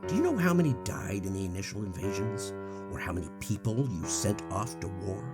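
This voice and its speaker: Actor as a sly, low-voiced, menacing old man. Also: very animated, a little fast. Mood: accusing. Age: older. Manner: slippery, theatrically menacing. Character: taunting, venomous old man accused of murder. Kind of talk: audio drama acting in vintage radio manner. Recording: music laid under the voice. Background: plucked guitar music.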